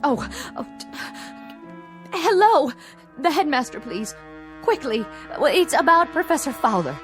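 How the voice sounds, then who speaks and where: An actress playing a devoted, motherly housekeeper in a radio drama, fond and anxious, with a fussing, expressive manner. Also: high voice, a little slow, music in the background. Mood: alarmed.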